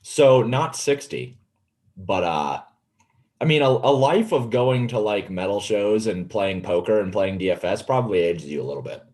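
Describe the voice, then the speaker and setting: Casting: man, late twenties, co-host. Slightly low voice, animated easygoing joking chat. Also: normal speed. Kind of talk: casual chat. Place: indoor home room on a video call.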